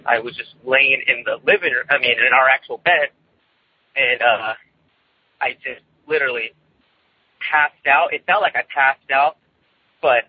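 A young man, calling in by phone and telling a personal story in a casual, rambling, nervous way, often halting and repeating himself. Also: medium pitch, slightly rough, no room sound. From California, United States. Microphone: phone line. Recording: tinny, boxy phone call.

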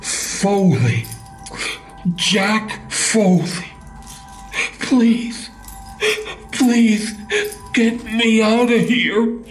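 A beaten middle-aged captive man, sobbing and begging in a strained, high voice. His speech is muffled as if through a swollen jaw, and he drags out each word slowly. Faint music plays behind him. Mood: desperate. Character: battered middle-aged male captive, desperate and pleading.

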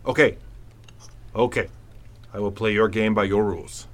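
An actor playing a grave, indignant police commissioner in an old-time radio drama, earnest and dramatic. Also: male voice, on the low side, steady tone, moderate pace, a little hiss or hum, natural voice. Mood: grim disbelief.

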